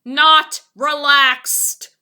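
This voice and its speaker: Storybook animal male, fussy and rattled female performer: raised pitch, very bright, emphatic and drawn out. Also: little pitch movement, extremely slow, heavily acted. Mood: rattled, insistent.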